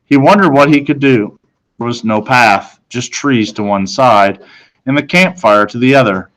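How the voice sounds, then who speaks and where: A man narrating a children's novel as a reader, in a measured, suspenseful storytelling manner. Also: medium pitch, fairly bright tone, rough recording.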